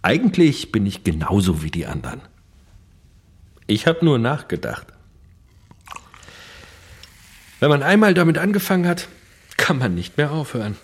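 A man's voice: mid-range voice, sing-song, moderate pace, breathy edge, no echo. An actor playing a self-assured crook turned writer, telling his tales dramatically in a radio play. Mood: self-assured, reflective. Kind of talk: theatrical radio play monologue and narration. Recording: studio radio play with guitar music.

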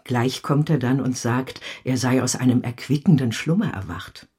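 Husky, doting old nursemaid. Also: female voice, extremely low pitch, normal speed, mellow, full character voice. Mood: fond, confiding. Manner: effusive, exclaiming, homely. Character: doting, motherly old nursemaid, emotional and plain-spoken. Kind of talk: radio drama acting.

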